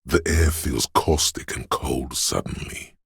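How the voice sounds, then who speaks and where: Super deep husky paranoid inner voice for a video game, slow n ominous, like raw fear and menace talking. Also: male voice, a bit bright, dry recording, studio quality, exaggerated voice. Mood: ominous, hushed. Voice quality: gravelly, husky, breathy. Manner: slow, ominous, intense delivery. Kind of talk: dramatic video game voice acting.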